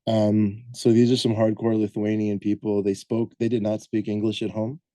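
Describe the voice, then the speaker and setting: Give me a man with a deep, very dark voice, rambling through a relaxed podcast chat with a dry close sound. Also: normal speed, no room sound. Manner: rambling, hesitant, lots of "um" and self-corrections. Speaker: podcast co-host presenting the film research.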